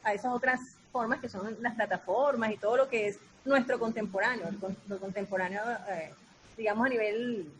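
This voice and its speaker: A woman's voice: mid-range voice, fairly quick. A woman with a Colombian accent chatting casually, often repeating and rephrasing her phrases. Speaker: radio theatre collective member. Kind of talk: casual, loosely structured discussion. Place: online video call. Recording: noisy video-call audio with room ambience.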